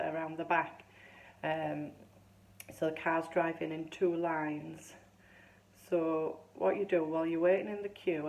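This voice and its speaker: A woman in her fifties chatting casually to camera, rambling and restarting as she tells a story, her voice still a little hoarse. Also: sits low, low-quality recording. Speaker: vlogger.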